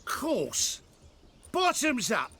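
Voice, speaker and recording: A man's voice: very high voice, huge pitch swings, drawn-out words, heavy background noise, exaggerated voice. An actor playing a drunken, cowardly fire-eating jester in a fantasy game, showy and tipsy in his delivery. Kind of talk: fantasy video game acting.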